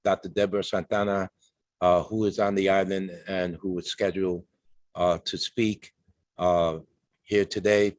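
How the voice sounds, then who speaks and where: A man moderating an event, making improvised introductions and thanks with formal courtesy and a halting delivery. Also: sits low, dry recording. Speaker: host, moderator.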